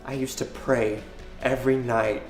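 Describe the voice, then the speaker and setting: A man's voice: mid-range voice, little pitch movement, measured pace, natural voice. Guy playing an earnest son in a melodrama, pleading and preachy, echoey room, music under it. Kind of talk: melodramatic web drama acting. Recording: roomy film dialogue under music.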